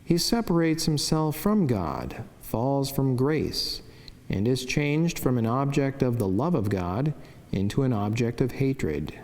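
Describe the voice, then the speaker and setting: A man with a medium-pitched voice reads a religious devotion from a script. His delivery is solemn, formal and measured. Every phrase carries a long, church-like reverberant tail. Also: big pitch swings, a little mellow. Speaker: religious narrator reading a saint's life and devotional meditation.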